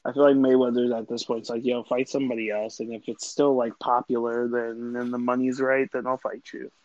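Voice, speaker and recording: A man's voice: mid-range voice, little pitch movement, brisk, a little mellow, dry recording, muffled recording. A man co-hosting a podcast in casual, profane banter, using a lot of bro-style slang. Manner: slangy, says "bro" often. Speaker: podcast co-host.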